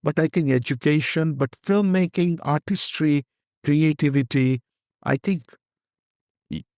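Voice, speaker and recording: A man's voice: fairly high pitch, unhurried, darkish tone, no echo, studio quality. An older man with an Indian accent, a guest speaking candidly and rambling in an interview, slipping Hindi phrases into his English. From Kenya.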